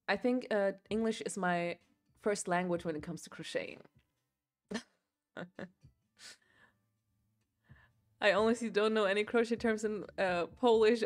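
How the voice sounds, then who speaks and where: A young woman talks loosely through a crafting livestream at an ordinary pitch. She rambles and scatters "like" and "um" through her sentences, laughing often between thoughts. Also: lively intonation, measured pace, long pauses, darkish tone. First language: German. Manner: chatty, rambling, filler-heavy, laughs often. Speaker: livestream host. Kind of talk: casual chat while crocheting.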